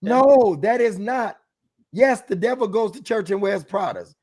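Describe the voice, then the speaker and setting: A man's voice: sits high, measured pace, a little mellow. A man as a guest author giving emphatic, sermon-like advice, calling out to the audience with rhetorical questions.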